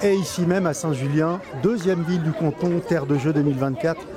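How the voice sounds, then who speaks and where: A man with a slightly high voice reports live from an outdoor event. His commentary is halting, with pauses and repeated phrases as he describes the scene. A busy crowd surrounds him. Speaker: event reporter and club president doing live coverage. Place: outdoors in a town street among a crowd. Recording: outdoor live recording amid crowd noise. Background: crowd and street sounds.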